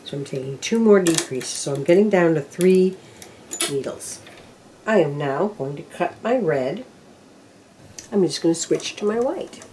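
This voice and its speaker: A woman's voice: on the low side, expressive, unhurried, long pauses, dark tone, slight background noise, low-quality recording. Older woman talking through her knitting. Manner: talks to herself while working, counting rows aloud. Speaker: knitting instructor. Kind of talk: casual step-by-step craft demonstration.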